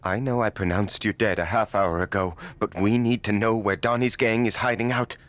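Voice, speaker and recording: A man's voice: fairly low pitch, steady tone, normal speed, fairly bright tone, dry recording, natural voice. A man playing a gushing, pretentious film director in a video game cutscene, effusive and theatrical. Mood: dramatic line reading. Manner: theatrical, effusive, touchy-feely.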